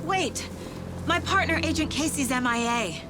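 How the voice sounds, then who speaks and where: A woman's voice: high-pitched, lively intonation, normal speed, over music. An actress playing a determined, intense FBI agent, protective of her family, in a horror video game. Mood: frantic, angry.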